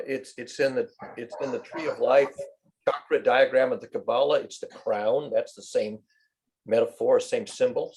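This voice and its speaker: A man's voice: medium pitch, gravelly, a little mellow, husky, rough recording. A man in a casual group discussion, explaining an idea expansively and at length. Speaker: meeting participant.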